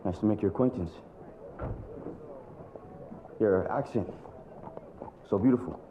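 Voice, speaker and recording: An actor playing a polite, educated free man in a period western, speaking in measured, formal phrases. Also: lowish voice, close to an everyday voice. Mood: friendly, courteous. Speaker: actor in a TV drama. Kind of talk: period western screen acting. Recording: muffled TV show playback with outdoor noise.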